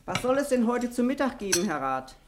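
An actress playing a prim, possessive housekeeper in a 1960 German radio play, stiffly formal and curt. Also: female voice, a little high, very animated, fairly quick, background noise. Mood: stiff, sour.

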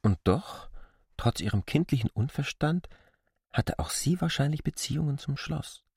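A man narrating a literary audio drama in a measured, reflective way. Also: fairly high pitch, lively intonation, gravelly, a little mellow, husky, no room sound, clean studio sound.

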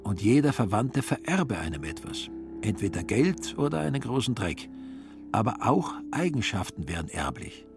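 A man reading literary radio narration in a measured storytelling manner. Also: on the low side, sing-song, gravelly, over music. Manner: measured storytelling in reported speech.

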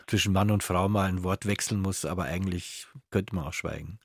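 Male radio interviewer, lowish voice, conversational and slightly halting, very dry close studio sound. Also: fairly even intonation, creaky, no echo.

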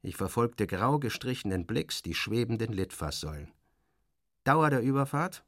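A man's voice: mid-range voice, animated, moderate pace, a bit bright, no room sound, clean studio sound. An actor playing a sardonic, self-assured journalist, narrating his travels in a literary radio drama with wry, theatrical, clipped phrasing. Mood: wry, agitated. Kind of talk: literary first-person narration, dramatic audio reading.

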